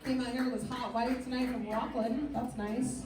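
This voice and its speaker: A woman emceeing in a pub, introducing the comic with loud, teasing banter to get the crowd going. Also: fairly high pitch, fairly even intonation, moderate pace, very noisy. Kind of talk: hyping up a live crowd. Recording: echoey pub, distant mic over loud crowd.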